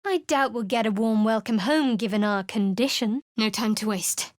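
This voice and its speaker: Actress as a wary young cleric, dry and cutting, fairly high voice, close dead recording. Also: female voice, moderate pace, no room sound, natural voice. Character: guarded sardonic young woman cleric adventurer. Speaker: game character. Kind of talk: studio game voice acting and narration.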